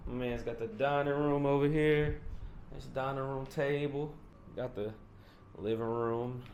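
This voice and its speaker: A man's voice: medium pitch, fairly even intonation, a little slow, darkish tone. Male vlog host, chatty slangy tour narration, noisy handheld camera sound. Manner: loose, repetitive, slangy running commentary. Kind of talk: casual tour commentary. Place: apartment and rooftop, indoors and out. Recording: noisy handheld video audio.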